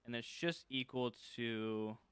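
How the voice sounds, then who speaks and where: Crisp, bright-voiced man coding live. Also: mid-range voice, slow, no echo. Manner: thinks aloud while working, loose and informal, reads chat and answers. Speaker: streamer teaching game-server scripting. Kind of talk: casual live coding stream.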